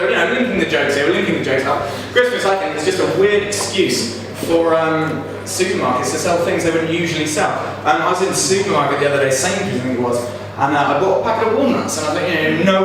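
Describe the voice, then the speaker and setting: Deadpan young man doing stand-up in a pub. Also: a little high. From South East England. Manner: deadpan delivery, laughs at own jokes. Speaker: stand-up comedian. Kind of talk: stand-up comedy set. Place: pub comedy night. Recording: roomy pub sound from far off. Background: audience laughter.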